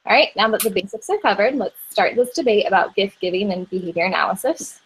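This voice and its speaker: Young woman, moderator, prepared debate intro, steady and organized. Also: medium pitch, fast, a little hiss or hum, poor audio. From Indiana, USA.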